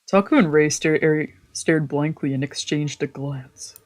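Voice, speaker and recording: A streamer reading a visual novel aloud in a playful, expressive way, with casual asides. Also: medium pitch, a little slow, faint music behind. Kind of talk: let's play voice acting with casual asides.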